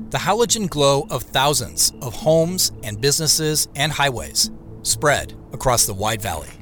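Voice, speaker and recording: An American man delivers reflective, scripted commentary in a measured and thoughtful way. His pitch moves in a lively range at a middle height, with faint music underneath. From United States, Pacific Northwest. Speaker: audio drama creator giving director's commentary.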